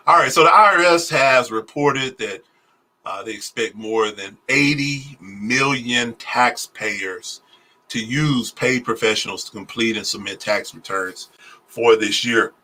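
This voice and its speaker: A man who is a pastor and a tax preparer, giving a casual livestream talk on taxes. He speaks slowly and deliberately, with many "uh" fillers. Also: mid-range voice, bright, forceful tone, rough recording. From Oklahoma, US. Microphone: phone.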